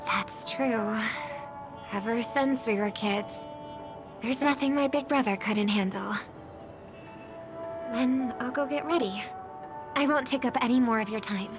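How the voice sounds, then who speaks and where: A woman voicing a gentle, worried young singer and devoted little sister in a game, speaking softly and pensively. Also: a little high, unhurried, pauses often. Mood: affectionate, concerned. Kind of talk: game voice acting, soft dramatic dialogue. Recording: background music under the voice.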